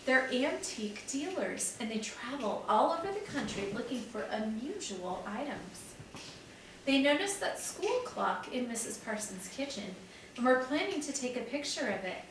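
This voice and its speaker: A friendly young woman who explains things plainly, as a woman teacher reads her lines. Her voice is a touch high, and it echoes through a very echoey room from a distant mic. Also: very animated, moderate pace, a little hiss or hum. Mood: explaining.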